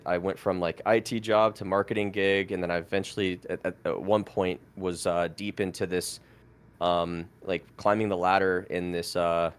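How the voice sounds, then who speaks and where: A man's voice: deep voice, clean tone. A man in his thirties, born in France with French as his first language, telling his story as a podcast guest in a rambling way full of fillers and restarts. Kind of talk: casual storytelling interview.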